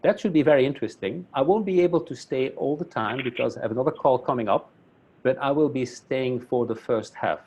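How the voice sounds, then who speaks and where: A man's voice: mid-range voice, brisk, darkish tone, breathy edge, lo-fi sound. A man from Belgium, a Flemish speaker, introducing a speaker at a webinar in a friendly, self-deprecating way, often restarting his words over a video call. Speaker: academic council chairman introducing a speaker.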